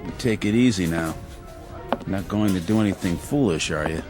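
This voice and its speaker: A male actor plays a low-voiced barman in a radio play, steady and reassuring. Loud music plays behind his plain-spoken words. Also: moderate pace, close to an everyday voice. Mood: calm, concerned. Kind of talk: naturalistic radio drama acting.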